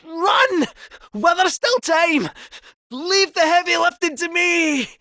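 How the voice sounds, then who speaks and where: A chatty male robot cat fortune teller from a video game, with a lilting Scottish accent. The voice sits high and leaps about playfully in pitch. Recorded dry and close, with no room sound. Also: animated, takes it slowly, pushed and bright, no echo, exaggerated voice. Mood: urgent cheer.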